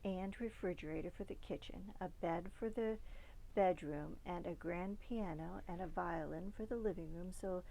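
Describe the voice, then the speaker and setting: A woman reading a children's storybook aloud expressively, with dramatic emphasis that softens at phrase ends. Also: on the low side, normal speed, vocal fry, poor audio. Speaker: storybook reader.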